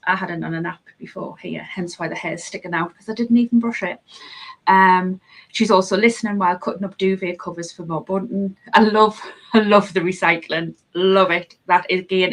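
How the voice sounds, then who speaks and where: A woman's voice: lowish voice, fairly bright tone, rough recording. Woman, from Scotland, podcast host, chatty, laughs a lot, reads out comments. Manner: chatty, laughs often, frequent restarts. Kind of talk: casual live-stream chat, reading out viewer comments.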